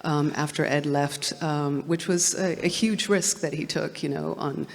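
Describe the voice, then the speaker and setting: A woman from Hong Kong speaking on a panel, halting with many fillers and restarts. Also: low-pitched. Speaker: panelist, studio distribution president. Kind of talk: panel discussion. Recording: long hall reverb.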